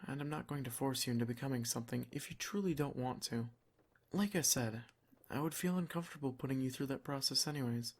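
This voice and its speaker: Male vampire lord for ASMR roleplay, acted by a man: soft-spoken, possessive and protective, medium pitch, measured and gently commanding. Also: lightly acted. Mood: teasing, reassuring. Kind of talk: ASMR roleplay, scripted intimate monologue.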